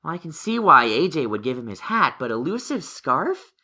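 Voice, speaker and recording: A woman's voice: low voice, very animated, normal speed, pushed and bright, breathy edge, heavily acted. A woman voicing a studious young male unicorn pony in a cartoon-style audio story, dry and pensive. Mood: thoughtful.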